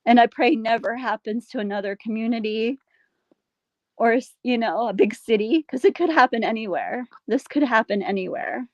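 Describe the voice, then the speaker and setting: A middle-aged woman from Pennsylvania, a local resident speaking as a guest in a casual conversation, halting and emotional with soft sighs. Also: fairly high pitch, no echo, highs cut off. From Pennsylvania, USA.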